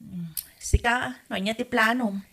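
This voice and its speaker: A woman acting as a nagging middle-aged mother with a bright, piercing, fairly high voice. She has an Ilocano Filipino accent and scolds and questions like a suspicious parent in a radio comedy drama. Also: a little slow, creaky, husky, heavily acted. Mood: prying, motherly.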